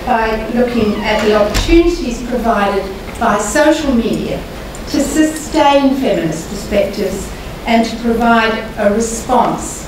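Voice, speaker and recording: An older Australian woman with a croaky voice gives a formal public lecture in a measured, deliberate way, pausing often to hesitate. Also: a little high. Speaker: guest lecturer. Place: large lecture hall. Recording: echoey hall, mic far off, noisy.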